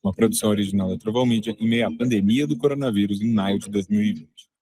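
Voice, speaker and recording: A male podcast presenter delivers scripted credits. His voice sits a little low and has a husky grain. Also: quick pace, mellow, no echo.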